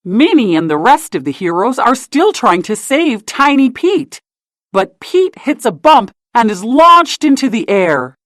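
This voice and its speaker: A woman narrating a children's storybook in an expressive, deliberate read-aloud style. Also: a little high, dry recording, clean studio sound.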